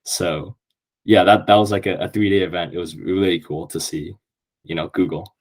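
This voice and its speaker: A man's voice: low-pitched, steady tone, normal speed. A young man from Canada, talking in an eager, upbeat way on a podcast, with many fillers. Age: young adult. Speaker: podcast guest, incoming university student. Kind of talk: casual podcast conversation.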